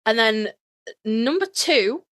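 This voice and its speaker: A woman's voice: a little high, lively intonation, takes it slowly, a bit bright, no echo, clean studio sound. A woman co-hosting a casual fan podcast, chatting in a sweary, gushing, self-mocking way. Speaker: podcast co-host.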